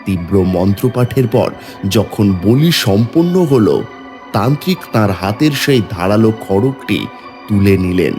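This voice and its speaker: A man's voice: on the low side, expressive, unhurried, a little mellow, soft background music. A man giving dramatic, scripted narration of a horror story.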